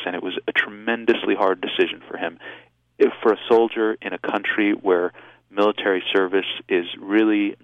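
A man born in Israel and raised in the United States, speaking, gives measured, reflective answers as an author in an interview, with frequent restarts and ums. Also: sits low, no room sound, highs cut off.

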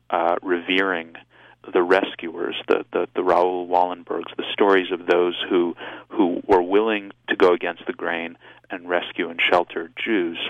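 Deep-voiced man in a muffled radio interview. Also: no room sound. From Israel. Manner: measured, reflective, with frequent restarts and ums. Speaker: author being interviewed. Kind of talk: thoughtful interview answers.

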